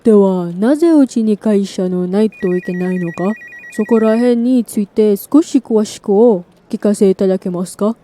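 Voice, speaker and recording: Woman acting as dark-toned, brisk job interviewer. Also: medium pitch, natural voice. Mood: polite, formal. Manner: polite, formal. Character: polite, formal female job interviewer. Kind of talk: scripted role-play for a language lesson.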